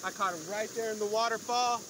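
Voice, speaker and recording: A high-voiced man in his thirties talks straight into a phone held at arm's length, outdoors by the water. His talk is loose and slangy, hyped up, with whoops after catches. The recording has a steady layer of outdoor noise behind him. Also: fast. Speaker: fishing vlogger. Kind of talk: casual outdoor vlog commentary. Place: outdoors by a pond and river. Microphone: phone camera at arm's length.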